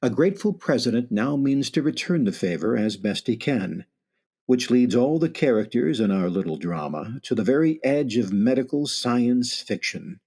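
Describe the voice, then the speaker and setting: A man playing a solemn, eerie announcer narrating a suspense radio play in a measured, theatrical way, with music underneath. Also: medium pitch, expressive, a little mellow, husky.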